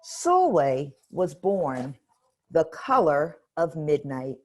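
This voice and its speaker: Woman reading a picture book to kids, gentle and expressive; low voice, very slow. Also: slight background noise. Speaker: storybook reader. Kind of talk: children's picture book read-aloud.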